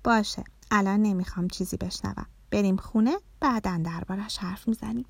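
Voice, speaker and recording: A woman's voice: medium pitch, steady tone, creaky, breathy edge, no echo. Woman as a caring mother butterfly, dry sound. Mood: stern, upset. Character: caring mother butterfly, patient but easily saddened.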